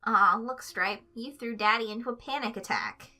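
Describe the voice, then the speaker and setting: A woman acts out an unemotional cartoon teenage boy. He is calm and laconic, delivering his words deadpan without any fuss. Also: fairly high pitch, moderate pace, soft background music. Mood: deadpan, teasing. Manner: flat, deadpan, unbothered.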